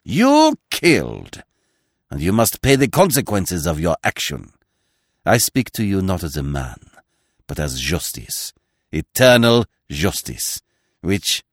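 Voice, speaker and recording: Pompous male magistrate, read by a male narrator: sneering, declamatory, wide swoops in pitch, dry storybook recording. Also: wildly swooping pitch, measured pace, dry recording, heavily acted. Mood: grandiose, condemning. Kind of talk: audiobook character acting.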